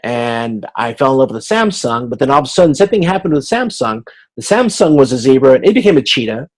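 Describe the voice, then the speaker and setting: A man giving an informal explanatory lecture as an instructor on a video conference, haltingly thinking aloud. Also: mid-range voice, sing-song.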